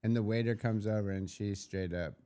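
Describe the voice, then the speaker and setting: Low-voiced man telling a dry story. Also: fairly even intonation, a little fast, mellow, clear, resonant tone. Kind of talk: casual chat.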